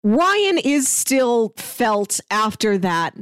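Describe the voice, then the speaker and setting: A woman's voice: fairly high pitch, very animated, drawn-out words, no echo, studio quality. A woman co-hosting a podcast, chatting casually and giving her take on a TV drama. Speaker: podcast co-host. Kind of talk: casual chat reviewing a TV drama.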